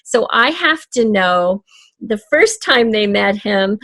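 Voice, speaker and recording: Slow-talking woman, dry close sound. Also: medium pitch, animated, a bit bright, dry recording. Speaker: guest, author of an audio drama. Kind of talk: conversational explanation in an interview.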